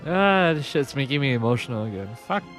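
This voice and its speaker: A man's voice: mid-range voice, animated, fairly quick, a bit bright, faint music behind. A man giving casual, muttered gameplay commentary as a streamer, with sighs and swearing. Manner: muttering, sweary, sighing reactions. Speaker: game streamer.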